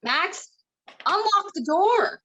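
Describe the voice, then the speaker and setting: A woman's voice: extremely high pitch, big pitch swings, slow pace, a bit bright, full character voice. A woman playing a firm, worried, bossy mother in a screenplay table read. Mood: angry, demanding.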